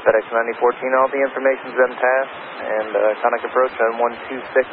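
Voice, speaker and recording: A man's voice: mid-range voice, flat delivery, fast. A man working as an air traffic controller, giving procedural instructions in clipped phrases over a radio. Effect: radio transmission. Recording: tinny narrow-band radio, loud static noise.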